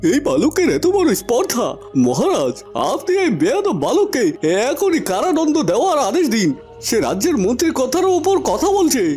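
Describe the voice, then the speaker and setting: High-pitched, blustering villainous royal minister. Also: male voice, wildly swooping pitch, normal speed, soft background music, heavily acted. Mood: outraged. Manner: pompous, indignant, loud declaiming. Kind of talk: dramatic fairy-tale cartoon acting.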